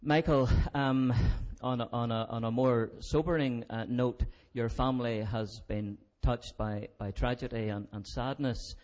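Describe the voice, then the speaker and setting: A man's voice: medium pitch, steady tone, unhurried, hardly any pauses, a little mellow. Hesitant interviewer, a man. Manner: hesitant, many fillers. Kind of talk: onstage interview.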